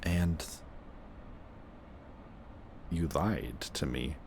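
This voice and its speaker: A man playing a wounded, protective antihero who insists he is a villain, in an emotional, intimate audio roleplay with a halting delivery. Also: a bass voice, vocal fry, darkish tone, slight background noise, full character voice. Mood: hurt, reproachful. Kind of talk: emotional audio roleplay acting, addressing the listener.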